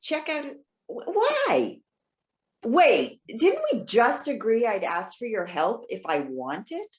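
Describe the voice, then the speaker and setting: A proud, independent old woman, played by an actress in a staged play reading. Her pitch swings widely as she talks, articulate and defensive, with a sarcastic edge. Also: wildly swooping pitch, slow pace, heavily acted. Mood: wary, testy. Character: proud, independent elderly woman, indignant and sharp-witted. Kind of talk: readers theater stage acting.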